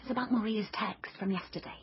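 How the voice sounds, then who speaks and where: A woman's voice: medium pitch, fast talker, dull audio, noisy background, close to an everyday voice. An actress playing a headstrong young female amateur detective in a comic radio drama, melodramatic, emphatic and wry. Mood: excited, brisk. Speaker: lead character and narrator.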